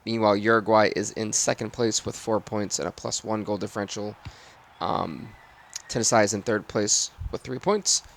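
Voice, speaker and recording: A man with a raspy voice doing live football game commentary and stream chat in sportscaster phrasing. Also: lowish voice, fairly even intonation, normal speed.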